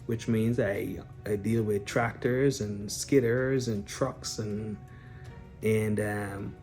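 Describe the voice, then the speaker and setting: A man from Guyana talks straight to his viewers in a relaxed, unscripted way, stopping and restarting his sentences and filling gaps with "um". His voice sits on the low side. Faint background music plays under him. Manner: unscripted, frequent fillers and restarts, addresses viewers directly. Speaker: real estate investor and business owner. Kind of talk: casual explanatory vlog talk.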